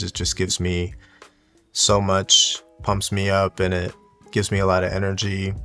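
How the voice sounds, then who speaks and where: A man talking casually and reflectively in a monotone, low-key, unhurried way, like a personal video diary for his hobby channel. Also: clean tone. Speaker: YouTube watch collector and content creator. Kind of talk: casual reflective solo vlog talk.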